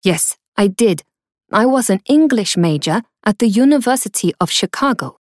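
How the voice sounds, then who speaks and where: A woman's voice: medium pitch, expressive, moderate pace, no echo, studio quality, natural voice. A woman in her twenties from South Korea, reading a student's lines plainly in a scripted textbook dialogue. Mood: calm. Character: young woman telling her background.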